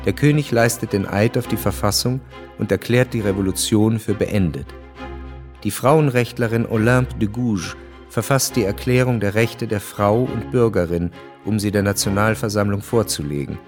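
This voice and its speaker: An actor playing the sober narrator of a historical radio drama, reading scripted present-tense narration. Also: male voice, fairly low pitch, a little mellow, natural voice. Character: sober male chronicler of historical events. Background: music.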